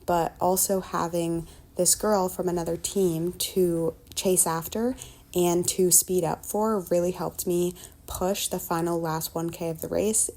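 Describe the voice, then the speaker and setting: A teenage girl with a soft, mellow, fairly low voice narrating a voice-over of her race. She recounts it in an easygoing way with a few fillers.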